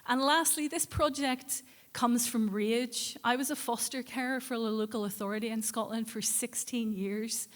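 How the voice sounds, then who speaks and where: A woman giving an acceptance speech in a deliberate, emphatic and earnest manner. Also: on the high side, steady tone. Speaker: award winner giving speech.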